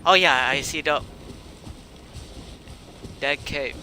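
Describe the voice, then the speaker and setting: Man, non-native English, casual game stream, slow and fragmented, noisy breath-popped microphone. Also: a little high. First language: not English. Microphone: uncovered microphone that picks up breath blows.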